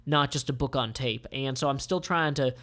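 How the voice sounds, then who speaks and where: A man reads a comic aloud as its narrator. His voice sits at a middle pitch with a lot of vocal fry. He sounds informal and conversational, describing things in an offhand way. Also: normal speed, sharp tone.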